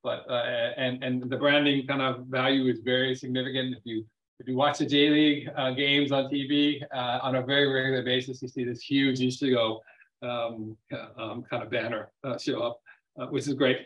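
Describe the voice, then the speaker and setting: A man speaking as a company chairman on a web earnings call, presenting semi-formally off the cuff, haltingly, often repeating and rephrasing his words. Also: medium pitch, flat delivery, a bit bright. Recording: dull, lo-fi web call audio.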